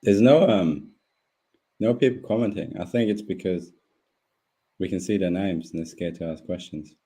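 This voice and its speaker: A man's voice: sits low, moderate pace, warm tone. A young man whose first language is German, talking casually as a guest about his riding season, full of fillers and restarts. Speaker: guest speedway rider. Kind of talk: casual live interview.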